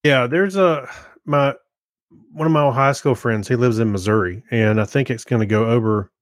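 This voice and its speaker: A man's voice: medium pitch, normal speed, no echo, clean studio sound. An American man co-hosting a podcast, chatting casually and informally with joking interjections. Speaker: podcast co-host.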